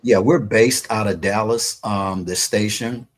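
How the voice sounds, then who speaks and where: A man's voice: on the low side, steady tone, unhurried. A man hosting a radio interview show, talking casually with many fillers and repeated words. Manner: warm, chatty, repeats words, lots of fillers. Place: radio station.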